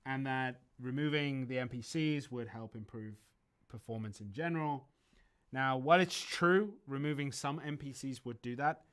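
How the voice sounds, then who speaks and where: A man chatting casually on a game livestream, explaining things loosely with plenty of ums and false starts. His voice is bright and smooth, sounding clear and even. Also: mid-range voice, normal speed. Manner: relaxed, rambling explanations with many ums and restarts. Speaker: community manager on a game stream. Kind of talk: casual livestream chat answering viewer questions.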